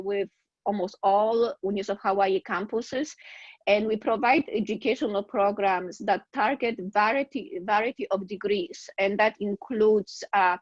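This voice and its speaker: A woman with a Polish accent presents her project in a webinar, pausing often on fillers and restarting her phrases. Her voice comes through a dry, dull video call line with no room sound. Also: mid-range voice, no room sound. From Poland. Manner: halting, many fillers and restarts. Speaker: university dean, guest grantee.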